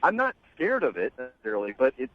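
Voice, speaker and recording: A man heard over a dull, muffled phone line, talking with a radio show. His voice sits at an ordinary mid pitch and is dry, and he halts and restarts often as he explains himself. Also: wildly swooping pitch, no room sound. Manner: halting, hesitant, many pauses and restarts. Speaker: caller on the phone. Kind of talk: hesitant phone conversation. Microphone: telephone.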